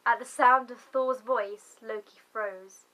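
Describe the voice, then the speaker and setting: Slow, bright-voiced woman reading dramatically. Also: a little high, fairly even intonation, low-quality recording. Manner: theatrical, deliberate, with swelling emphasis. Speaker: narrator.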